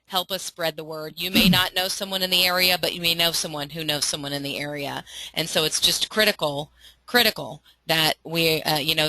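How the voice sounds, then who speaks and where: A woman's voice: deep voice, pushed and bright, no room sound, poor audio. A woman moderating a webinar, earnestly relaying audience questions to a guest.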